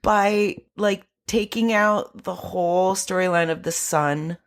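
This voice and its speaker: A woman's voice: medium pitch, slow. A woman co-hosting a podcast, chatting casually about films in an informal, jokey way with some swearing. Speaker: podcast co-host. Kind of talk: casual film review chat.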